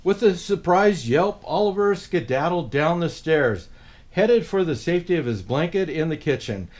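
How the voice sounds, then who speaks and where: A man's voice: a little high, expressive, moderate pace, a little mellow. A man in a Santa persona reading a children's picture book aloud, with playful asides to his young listeners.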